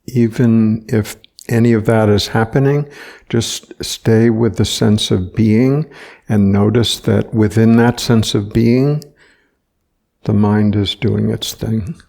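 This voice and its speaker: A man leading a guided meditation as a teacher, speaking gently and without hurry, leaving long pauses between short phrases. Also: lowish voice, fairly even intonation, gravelly.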